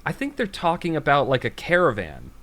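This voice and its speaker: A man's voice: fairly high pitch, wildly swooping pitch, fairly quick, fairly bright tone. A man with an American accent hosting a podcast, chatting casually and reading stories aloud. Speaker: podcast host.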